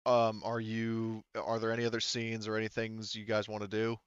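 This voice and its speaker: A man's voice: on the low side, completely flat delivery, moderate pace, clear, resonant tone, no echo. A man speaking casually as game master of a tabletop roleplaying session, on a slightly dull recording. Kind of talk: casual game talk, narrating scenes.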